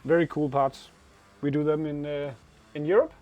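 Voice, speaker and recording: A man in his thirties from Denmark, speaking English with a Danish accent as a co-owner of a motorcycle shop, with halting speech full of fillers and laughs. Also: a little high, steady tone, narrow, tinny audio, faint music behind. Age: 30s. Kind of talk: casual chat. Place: motorcycle workshop showroom.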